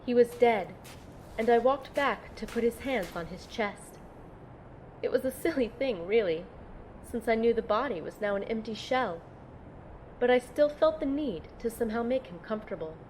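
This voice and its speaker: A woman's voice: a little high, lively intonation, moderate pace, darkish tone, a little hiss or hum, natural voice, rough recording. A woman playing an earnest, tender-hearted young heroine who tells her own story in an audio drama. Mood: somber, reflective. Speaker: narrator and lead character. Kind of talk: first-person audio drama narration and dialogue.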